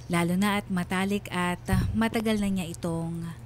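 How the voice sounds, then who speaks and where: Actress as a wistful wife narrating, faint background noise. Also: female voice, lowish voice, fairly even intonation, normal speed, close to an everyday voice. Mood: reflective. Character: wistful wife and mother narrating her letter confession. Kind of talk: scripted first-person radio drama narration.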